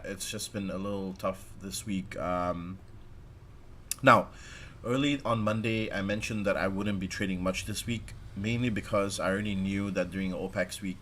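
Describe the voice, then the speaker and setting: A man hosting a casual stock market livestream, his voice slightly raspy from a sore throat he is getting over, talking in a relaxed, chatty way with frequent "uh" fillers. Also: deep voice, moderate pace, slight background noise.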